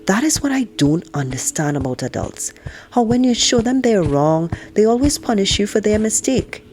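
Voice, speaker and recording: A woman's voice: medium pitch, big pitch swings, normal speed, a little mellow, a little hiss or hum, pitched above the performer's own voice, lightly acted. A woman reading a storybook aloud as an outspoken, indignant teenage girl, in a frank, conversational and sarcastic manner. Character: outspoken, indignant teenage girl narrating her family life. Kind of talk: first-person audiobook read-aloud.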